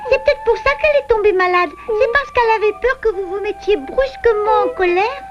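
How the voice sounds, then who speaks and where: Little woodland girl: tiny high voice, gentle and frank, cartoon style, muffled VHS audio with music. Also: a little fast. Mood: gentle, reasoning. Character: polite, brave little girl animal, gently reasoning. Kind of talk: dubbed cartoon acting.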